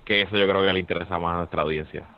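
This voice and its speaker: A man's voice: low-pitched, fairly even intonation, very fast, lo-fi sound. A Puerto Rican man co-hosting a podcast, joking and teasing the others in casual chat. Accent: Puerto Rican Spanish. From Puerto Rico. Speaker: podcast co-host.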